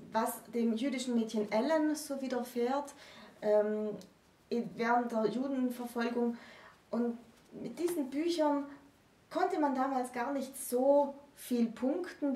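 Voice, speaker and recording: A woman's voice: fairly high pitch, measured pace, mellow. A woman in her thirties explaining literature as a co-host in a discussion to camera. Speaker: co-host presenting books. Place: room with bookshelves, indoors. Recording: roomy echo, distant microphone.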